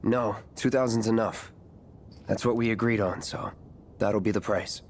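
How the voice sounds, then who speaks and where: An actor playing an aloof young mercenary in a video game, speaking in a cool, clipped, deadpan manner. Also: male voice, lowish voice, normal speed, slight background noise, close to an everyday voice. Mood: businesslike. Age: young adult. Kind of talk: video game cutscene voice acting. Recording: background music under dialogue. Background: game music.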